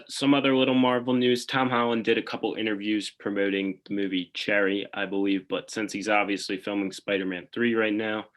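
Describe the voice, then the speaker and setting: A man's voice: on the low side, fairly bright tone. A man co-hosting a podcast in casual chat, thinking aloud and often hedging what he says. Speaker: podcast co-host.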